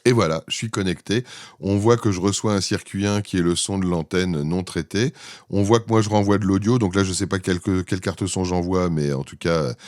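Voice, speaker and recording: Deep-voiced Frenchman giving an informal demo. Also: a little fast, darkish tone, no echo, studio quality. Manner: hesitant, improvised explaining. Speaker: presenter demonstrating his own software. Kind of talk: informal screencast product demo.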